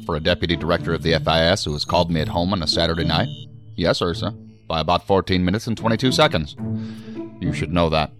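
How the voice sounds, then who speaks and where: A man playing a weary, wry assistant director and husband in a science fiction audio drama, articulate and measured. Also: low-pitched, over music, close to an everyday voice.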